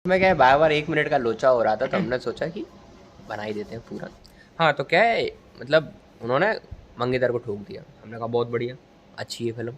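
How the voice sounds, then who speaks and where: A man's voice: mid-range voice, animated, fairly quick. A young man gives a casual, comic movie review in mock-formal storytelling style, recorded on a phone. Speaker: vlogger and amateur film reviewer. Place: outdoors at a railway station at night. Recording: noisy outdoor ambience on phone.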